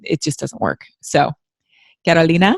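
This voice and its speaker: Low gravelly-voiced woman podcast host. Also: normal speed, no echo. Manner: chatty, rambling, self-deprecating. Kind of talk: casual podcast interview.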